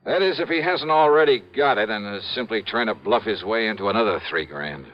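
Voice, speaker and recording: An actor playing a wry, tough, skeptical American insurance investigator in a 1950s radio detective drama, with a brisk, casual, sardonic delivery. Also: male voice, on the low side, expressive, lightly acted. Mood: skeptical, probing. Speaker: lead actor and narrator. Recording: muffled old radio broadcast recording.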